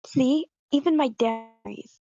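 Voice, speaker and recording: A woman's voice: high-pitched, unhurried, warm tone. A woman reading a scornful, self-righteous young creature schoolgirl in a screenplay table read, emphatic and dismissive. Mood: defensive, scornful. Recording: dull lo-fi call audio.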